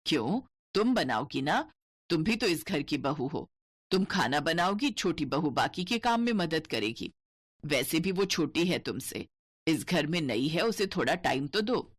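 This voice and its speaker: An older mother-in-law in a cartoon family tale, played by a woman narrator. She speaks noticeably lower, in a calm and fair way, approving yet gently firm. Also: measured pace, breathy edge, no room sound, heavily acted.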